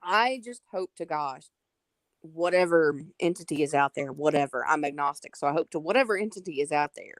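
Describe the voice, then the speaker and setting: Low-voiced southern lady rambling on a group call, earnest and sorry for interrupting, dry sound. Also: lively intonation, brisk, dry recording. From Southern United States. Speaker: call-in guest. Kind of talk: casual chat.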